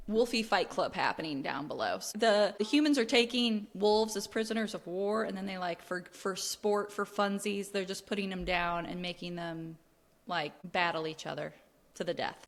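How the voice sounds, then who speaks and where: A woman's voice: medium pitch, long reverb tail. A woman co-hosting a podcast, chatting casually and informally about a book, peppering her sentences with "like". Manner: chatty and informal, heavy use of "like". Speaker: podcast co-host. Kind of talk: casual book discussion chat.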